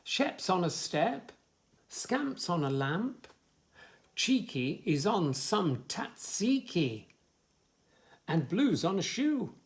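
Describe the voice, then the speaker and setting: A man's voice: high voice, sing-song, takes it slowly, long pauses, full character voice. Man playing a bossy, swooping storybook frog. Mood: proud. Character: critical, bossy yet resourceful male frog.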